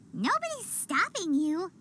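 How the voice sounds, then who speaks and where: A woman voicing a tiny, chirpy, pushy fairy companion in a video game, with a squeaky childlike voice and exclamatory delivery. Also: very noisy, full character voice. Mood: dismissive, supportive.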